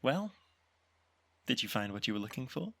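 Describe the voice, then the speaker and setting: A man voicing a brisk, teasing mother in an audio roleplay, talking quickly and playfully. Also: mid-range voice, creaky, full character voice. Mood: teasing, amazed. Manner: quick, teasing, lively questioning.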